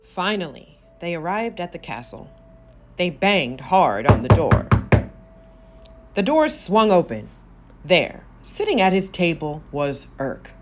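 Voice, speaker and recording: Slow, expressive woman reading a storybook. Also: lowish voice, faint music behind. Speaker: storybook reader. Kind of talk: children's read-aloud narration.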